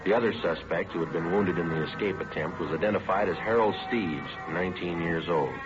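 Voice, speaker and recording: An actor playing a terse, businesslike police detective who narrates his cases in a clipped, deadpan 1950s radio drama style. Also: sits low, a little fast, close to an everyday voice. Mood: matter-of-fact narration. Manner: clipped, deadpan, matter-of-fact. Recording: dull vintage radio recording with music.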